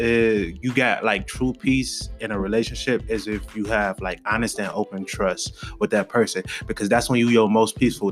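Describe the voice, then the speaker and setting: A man's voice: on the low side, fairly bright tone. A man chatting casually as a podcast co-host, slangy and emphatic, repeating himself for stress. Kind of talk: casual chat.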